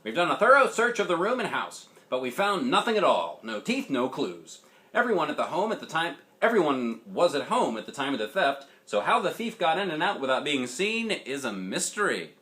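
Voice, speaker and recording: A man's voice: a little high, very animated, moderate pace, pushed and bright, voice pushed up. A man voicing a grave, earnest storybook police officer with a formal, official manner.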